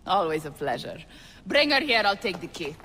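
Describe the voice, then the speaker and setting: A woman's voice: on the low side, sing-song, normal speed, slight background noise. An actress playing a bold, sardonic Italian noblewoman warrior with an Italian accent, fiery and commanding in a video game drama. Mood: mocking. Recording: loud music over the voice.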